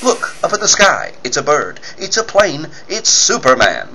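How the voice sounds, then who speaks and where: A man's voice: on the high side, huge pitch swings, moderate pace, over music, poor audio. A man reading scripted narration and ad copy as an emphatic, punchy 1940s-style radio announcer. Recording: faint background noise.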